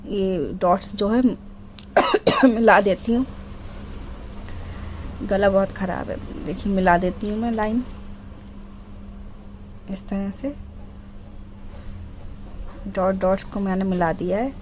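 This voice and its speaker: A middle-aged woman with a sore, hoarse throat, doing a voice-over for a sewing tutorial and explaining each step patiently, clearing her throat often. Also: mid-range voice, takes it slowly, long pauses, a little mellow, a little hiss or hum, low-quality recording. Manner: step-by-step, repeats instructions. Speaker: sewing channel host.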